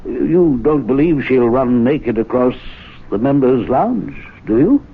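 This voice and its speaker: An actor playing a timid, anxious gentleman of a men's club who talks hesitantly, full of uhs. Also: medium pitch, expressive, slow, gravelly, husky, full character voice. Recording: tinny 1970s radio broadcast.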